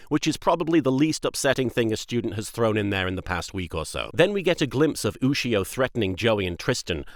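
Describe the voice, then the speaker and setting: A man's voice: mid-range voice, no echo, clean studio sound. A man giving comedic anime recap commentary, full of wry, sarcastic asides. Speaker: host of an anime rewatch series.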